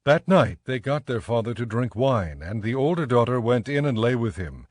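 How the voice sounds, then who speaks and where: A man narrating scripture from a script in a measured storytelling manner. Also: mid-range voice, expressive, no room sound. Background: faint background music.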